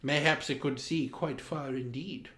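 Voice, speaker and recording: A man's voice: mid-range voice, steady tone, a little slow, pushed and bright. A man reading a children's story in a pompous, grandly formal and theatrical way, with dramatic emphasis. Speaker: storyteller, host of a story time. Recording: roomy, echoing room sound.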